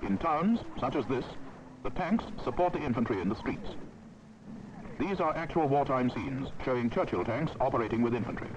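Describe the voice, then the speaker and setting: A man's voice: medium pitch, expressive, normal speed. A man with a British accent giving formal, authoritative, precisely enunciated scripted narration for a military training film. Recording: dull old film soundtrack, background noise.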